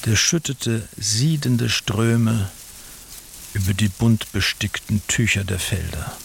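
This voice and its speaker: A grave radio-drama narrator with a low, gravelly voice. He recites poetic prose solemnly and very slowly over a faint hiss.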